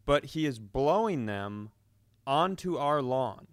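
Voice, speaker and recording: A man with a crisp, clear voice and average pitch tells a casual comic story as a podcast co-host. He is indignant and profane, acting out both sides of exchanges. The sound is dry and close. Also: lively intonation, takes it slowly, no echo.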